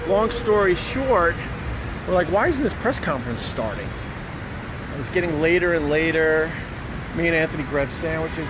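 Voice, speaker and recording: A man's voice: a little high, background noise. A man, a radio host, telling a story casually and bluntly with plenty of swearing, recorded outdoors on a city street. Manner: profane, blunt.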